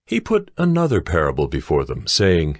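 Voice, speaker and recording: Very deep male scripture narrator. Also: wildly swooping pitch, normal speed, dry recording.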